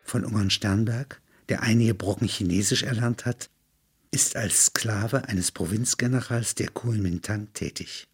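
A man's voice: lowish voice, a little slow, slightly rough. A man reading literary narration in an explanatory manner, breaking into spoken asides. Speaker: narrator and reader.

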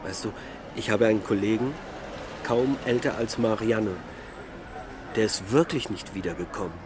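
A man's voice: medium pitch, lightly acted. An actor playing a melancholic middle-aged husband and father in a naturalistic radio drama, musing reflectively in short sentences. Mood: brooding, resigned. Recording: loud urban traffic ambience.

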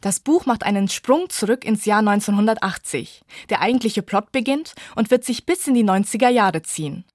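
A woman's voice: medium pitch, expressive, normal speed, few pauses, a little mellow, no room sound, studio quality. A woman reporter reading a scripted radio book review feature.

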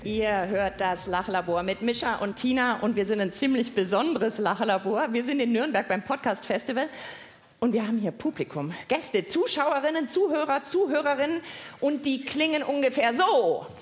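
A woman's voice: fairly high pitch, normal speed. A woman co-hosting a children's science show in front of a live audience, giving simple, encouraging instructions. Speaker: co-host of a children's science podcast. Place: live stage at a podcast festival. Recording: clear background noise, live event.

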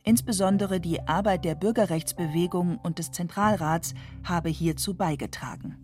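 A woman reading a scripted documentary narration in a measured, even way into a studio mic. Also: fairly low pitch, soft background music.

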